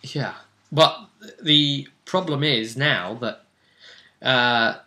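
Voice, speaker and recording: Bright-voiced man, casual game master. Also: medium pitch, very slow. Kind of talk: casual recap of a tabletop game story.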